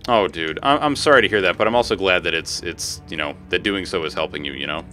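A man's voice: deep voice, fast talker, pushed and bright. A man in his thirties chatting casually on a gaming livestream, informal and slangy with frequent swearing. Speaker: game streamer. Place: home room. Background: music.

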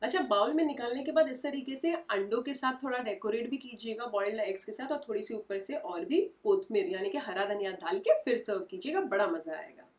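A woman's voice: a little high, animated, brisk, few pauses, a little mellow, distant mic. A woman, hosting a home cooking tutorial and explaining each step in a homely way, recorded in her kitchen. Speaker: cooking channel host.

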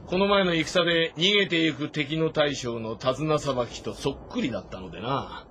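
A man playing a proud, self-assured warrior general with blunt, rough speech in a dramatic audio-novel game. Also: fairly high pitch, normal speed, sharp tone, a little hiss or hum. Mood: teasing, confident. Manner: blunt, confident, speaking in rough masculine speech. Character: bold, proud warrior general, gruff and sure of himself.

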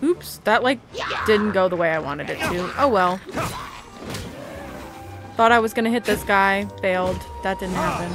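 A woman's voice: mid-range voice, normal speed, pauses often. A young adult woman giving casual gameplay commentary as a gaming host. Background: game music.

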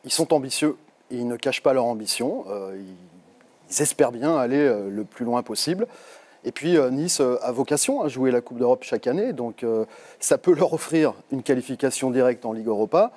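A man's voice: mid-range voice, lively intonation, a little fast, a little mellow. Analytical male studio pundit. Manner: analytical, hesitant. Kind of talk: TV studio debate.

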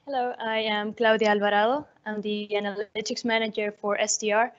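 A woman's voice: mid-range voice, little pitch movement, normal speed. A woman from Honduras with a Honduran Spanish accent, introducing herself on a panel in a conference room. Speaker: panelist, analytics manager. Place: hotel conference room with an audience. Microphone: handheld microphone. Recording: dull lo-fi sound.

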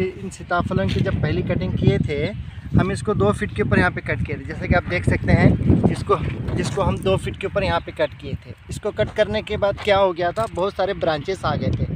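A middle-aged man speaks in a fairly high voice. He presents an informal hands-on tutorial, going step by step and repeating points. He is recorded outdoors in an open field, with clear background noise around his voice. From India. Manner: step-by-step, repeats himself while demonstrating. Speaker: fruit farmer presenting a tutorial. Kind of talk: informal hands-on farming demonstration.

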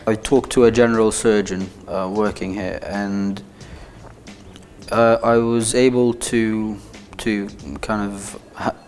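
A man's voice: on the low side, fairly even intonation, takes it slowly, a little mellow, slight background noise. A young man from Egypt, a student speaking hesitantly with many restarts in a testimonial interview on a lapel mic. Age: young adult. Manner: hesitant, repeats words.

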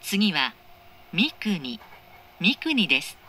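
A woman's voice: on the low side, big pitch swings, extremely slow, pushed and bright. A woman reading scripted train station announcements in a formal, precisely enunciated manner. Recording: clear train noise, recorded inside carriage. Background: moving train interior noise.

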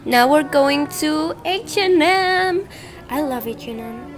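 A woman's voice: sits high, lively intonation, slow pace. Chatty young woman vlogging over music. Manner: chatty and enthusiastic, frequent fillers. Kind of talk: casual travel vlog narration. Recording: music playing underneath.

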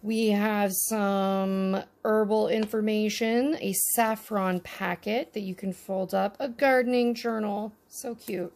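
A woman's voice: medium pitch, fairly even intonation, slow pace, darkish tone. A woman giving casual, easily delighted unboxing commentary as she handles miniature toys. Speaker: host of a miniature toy unboxing.

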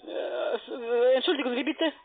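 A woman, speaking in a halting way as a telephone sales caller. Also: high voice. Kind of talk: phone sales talk. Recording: muffled narrowband phone line.

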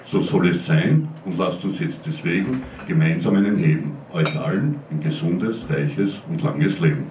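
A man's voice: low voice, lively intonation, normal speed, background noise. A man reciting a rhymed dialect poem at a pub slam. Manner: sing-song rhyming delivery. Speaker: slam poet. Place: small pub stage. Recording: roomy distant lo-fi camcorder sound.

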